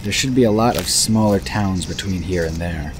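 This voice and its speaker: A man's voice: sits low, moderate pace, background noise. A man reading scripted narration for a fantasy audio drama. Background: music.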